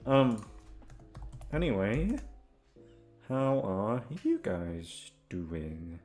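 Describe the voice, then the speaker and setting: A man's voice: on the low side, big pitch swings, extremely slow, darkish tone, faint music behind. A man who makes audio roleplays, chatting casually to his listeners in a rambling, self-deprecating, sweary way.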